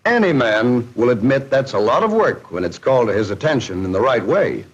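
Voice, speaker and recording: A man's voice: mid-range voice, very animated, fairly quick, husky. An American man narrating a scripted 1940s promotional film in a jocular, folksy showman's manner. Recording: dull, band-limited 1940s film soundtrack.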